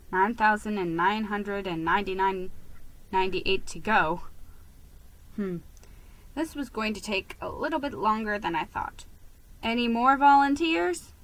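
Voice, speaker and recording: A woman giving an expressive, theatrical reading of a children's story. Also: medium pitch, rough recording. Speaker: storybook reader.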